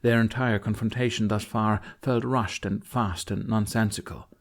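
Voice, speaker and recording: Male audiobook narrator, fairly low pitch, scripted dramatic storytelling. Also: fairly even intonation, moderate pace.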